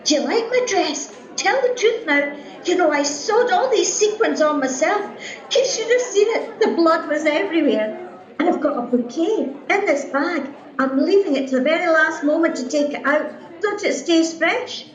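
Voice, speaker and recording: Excitable beauty contestant, extremely high voice, fluttery, breathless gushing, radio play, roomy muffled recording. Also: female voice, normal speed. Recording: muffled, echoey home recording.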